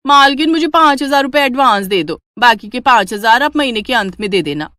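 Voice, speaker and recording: A woman's voice: a little high, expressive, a little fast, pushed and bright, dry recording, studio quality, pitched above the performer's own voice. A woman voicing a wheedling, insistent housemaid full of excuses in a family story. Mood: pleading. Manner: insistent, pleading. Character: wheedling, excuse-making housemaid demanding money.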